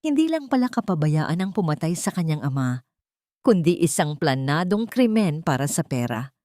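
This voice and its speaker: A woman's voice: low-pitched, big pitch swings, normal speed, mellow, dry recording, clean studio sound. A woman narrating a scripted drama in a solemn, dramatic way.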